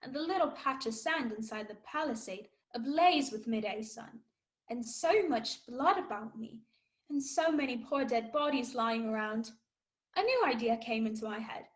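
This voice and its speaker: A woman voices a plucky young boy recounting his sea adventure in a radio drama. The voice is fairly high, and the storytelling is measured and literary. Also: expressive, dull audio, natural voice. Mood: proud, earnest. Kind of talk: scripted storytelling narration in a radio play.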